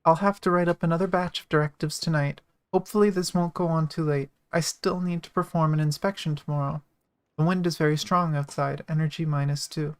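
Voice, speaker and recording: A woman voicing a weary, dutiful young priestess and military leader from a game in a measured, earnest way. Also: sits low, steady tone, close to an everyday voice.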